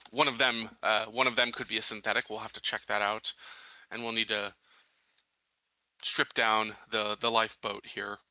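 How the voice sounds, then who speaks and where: A man playing a tabletop roleplaying game, improvising in character with halting, formal wording. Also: lowish voice, bright, forceful tone, no room sound, poor audio.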